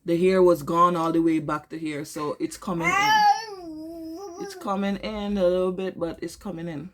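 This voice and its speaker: A young woman with a cold, congested and tired, chatting to her camera at home in a casual vlog update, halting with lots of fillers. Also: expressive, crowd noise. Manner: low-energy, tired, halting with many fillers. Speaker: vlogger.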